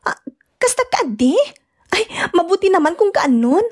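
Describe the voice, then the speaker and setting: High-voiced young wife played by a woman. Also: big pitch swings, moderate pace. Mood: glad, supportive. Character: young pregnant provincial wife, caring but complaining. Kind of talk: Ilocano radio drama acting.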